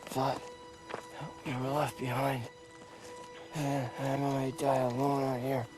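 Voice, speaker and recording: An actor playing a weary young soldier and former prisoner, speaking slowly and earnestly to a comrade. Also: male voice, mid-range voice, flat delivery, over music, close to an everyday voice, low-quality recording. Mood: weak. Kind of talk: video game cutscene acting. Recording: game score under voice.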